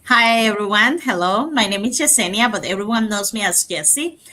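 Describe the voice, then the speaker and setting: A woman's voice: medium pitch, lively intonation, normal speed, sharp tone. A woman from Cuba, a nurse, introducing herself and reading out the discussion points upbeat with small fillers. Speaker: nurse, panel co-host.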